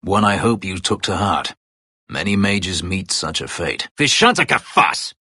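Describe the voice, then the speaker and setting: An actor voicing a brooding, bitter escaped slave, an elf warrior in a fantasy game, with a husky, gravelly voice and a terse, guarded, formal manner. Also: male voice, deep voice, big pitch swings, unhurried, bright, forceful tone, dry recording, clean studio sound, exaggerated voice. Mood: urgent. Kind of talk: dramatic fantasy game voice acting.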